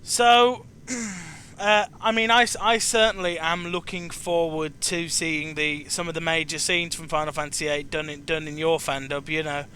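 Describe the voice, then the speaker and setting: A man with a notably high, bright voice hosts a casual video game chat. He speaks haltingly, doubling back on words, over a rumbling background noise. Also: fairly even intonation. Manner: halting, repeats words as he searches for phrasing. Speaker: chat show host.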